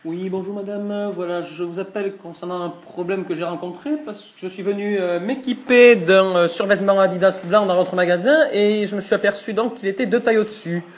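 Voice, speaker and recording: Man, French accent, prank caller, high voice, mock-formal, deadpan, stifled laughter. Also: brisk, hardly any pauses. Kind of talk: prank phone call.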